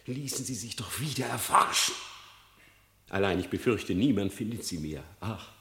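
A crafty, flattering fox pleading before his king in a classic radio drama. His voice is fairly low but sharp and bright, stretched out slowly with grand, wheedling rhetoric in an echoing room. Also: vocal fry, exaggerated voice. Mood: lamenting. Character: sly, flattering male fox pleading at the royal court. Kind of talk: classic German radio drama verse acting.

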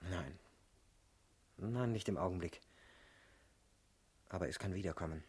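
An actor playing an intense, insecure young party militant in a German radio play, earnest, brooding and easily stung, with a husky voice. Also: male voice, low-pitched, a little slow, darkish tone, heavily acted. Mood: brooding, subdued.

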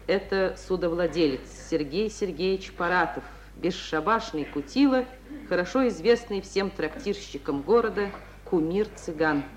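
A woman's voice: on the low side, normal speed. A woman reading scripted stage directions as the narrator of an old radio drama. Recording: old radio recording with faint hiss.

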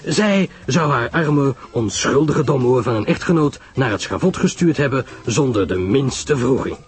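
A man plays a grave, self-assured older male detective from a radio mystery. His delivery is emphatic and measured, with widely swinging pitch. Music plays along with the voice. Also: lively intonation, natural voice. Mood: scornful.

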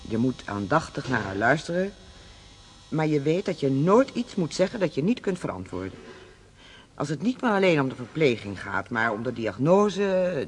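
Earnest, cautioning male medic with lively intonation. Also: medium pitch, breathy edge. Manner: instructing, insistent, measured. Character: earnest, cautioning senior male hospital medic instructing a nurse. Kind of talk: radio drama acting. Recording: slightly noisy old radio play recording.

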